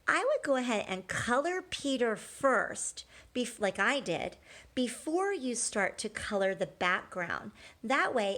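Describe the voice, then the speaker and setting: A woman's voice: mid-range voice, a bit bright. A woman teacher talking children through a drawing step by step, conversational and encouraging, often checking in with short questions.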